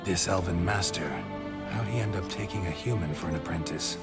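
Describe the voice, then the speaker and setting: Very deep, gruff monster hunter. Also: male voice, fairly quick, over loud music. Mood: dry, curious. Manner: terse, clipped, dry. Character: gruff, laconic male witcher, a monster hunter. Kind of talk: video game dialogue.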